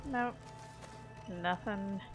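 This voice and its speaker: A woman's voice: mid-range voice, drawn-out words. A woman doing casual let's-play commentary, chatting to herself as she plays. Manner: chatty, talks to herself while playing. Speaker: gameplay streamer. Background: video game music and sounds.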